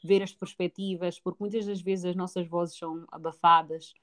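A woman's voice: on the low side, steady tone, normal speed, fairly bright tone. A woman co-hosting a podcast in casual conversation. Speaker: podcast co-host.

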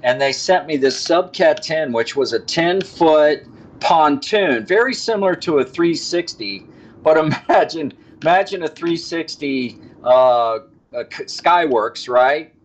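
A man's voice: a little high, expressive, unhurried. A man calling in from a car as a podcast guest, chatting casually in a jokey, wandering way. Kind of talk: casual rambling storytelling and chat.

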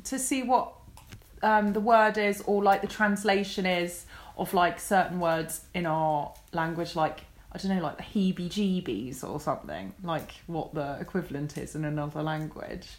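A woman's voice: on the low side, normal speed. A woman co-hosting a podcast, chatting casually and easily amazed by what she reads out. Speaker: podcast co-host. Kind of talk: casual chat.

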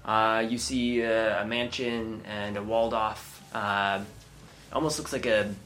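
Halting man narrating as game master. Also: on the low side, steady tone, fairly bright tone, a little hiss or hum. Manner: halting narration with many fillers.

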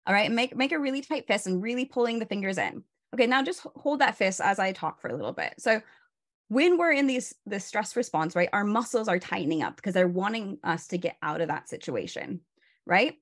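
A woman's voice: mid-range voice, expressive, brisk. A woman burnout coach, a guest on a video call, explaining stress in a casual, instructive way with many "you know"s and "right?"s.

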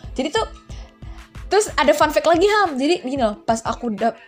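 Lively young woman, chatty storyteller. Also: high voice, fairly quick, faint music behind. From Central Java, Indonesia. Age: young adult. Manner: lively, laughing storyteller who acts out quoted voices. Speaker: podcast guest. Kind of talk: casual chat.

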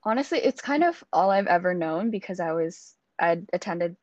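Young woman, from Singapore, lowish voice, relaxed chat, muffled dry recording. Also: moderate pace, no echo. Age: 20s. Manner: relaxed, with frequent fillers and soft laughs. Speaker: guest, student and youtuber. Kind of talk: casual interview chat.

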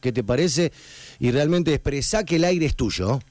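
A man's voice: a little high, lively intonation, normal speed, darkish tone, no room sound. A man with an Argentine accent hosting a radio interview in an effusive, informal way, often repeating himself for emphasis. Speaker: radio host. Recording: music in the background. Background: music.

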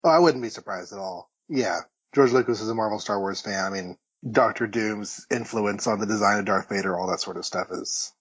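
A man's voice: on the low side, brisk, few pauses, breathy edge. A man co-hosting a podcast, casually recapping and discussing with enthusiastic, rambling asides. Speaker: podcast co-host. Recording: dull, lo-fi audio, slightly roomy.

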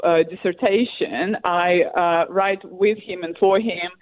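A woman from Russia with a Russian accent, a historian answering interview questions in a halting way, full of fillers and restarts. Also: fairly low pitch, fairly even intonation, no room sound. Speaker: guest, academic historian. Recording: tinny phone line.

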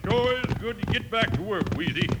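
A man playing a rough, obedient outlaw henchman with an American cowboy accent in an old radio western. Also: high voice, animated, fairly quick. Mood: eager. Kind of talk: 1950s radio western acting. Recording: very noisy old radio recording, clatter.

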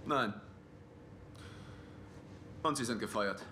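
A man's voice: lowish voice, slow pace, background noise. A man playing a stubborn boss in an audio drama, blunt and muddled in his pedantry. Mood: stern. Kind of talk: German audio drama acting.

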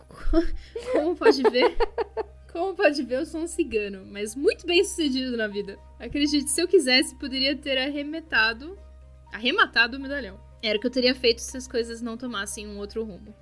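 Woman voicing courteous gray-bearded older man, formal, polite, high-ish voice, faint music. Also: animated, moderate pace, fairly bright tone.

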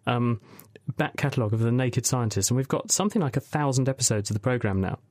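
A man hosting a radio science show, putting listener questions to guests in a brisk, inquisitive, wry way. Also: medium pitch, a little mellow, dry recording, studio quality. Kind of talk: casual radio science chat.

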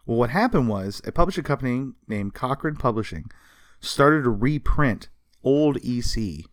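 A man's voice: mid-range voice, very animated, moderate pace, no room sound, studio quality. A man co-hosting a podcast, telling history in an informal, conversational way with plenty of emphasis. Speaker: podcast co-host. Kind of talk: casual podcast storytelling about pop culture history.

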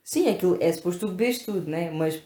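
A woman's voice: on the low side, fast. A woman with a European Portuguese accent, co-hosting a casual chat and telling stories with lots of fillers. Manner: chatty, animated storytelling with lots of fillers. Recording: echoey room.

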